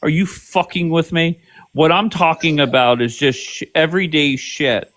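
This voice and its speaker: A man's voice: a little high, fairly even intonation, normal speed, fairly bright tone. A man hosts a heated live-stream debate, talking bluntly and swearing freely. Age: 50s. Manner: blunt, profane, pushy.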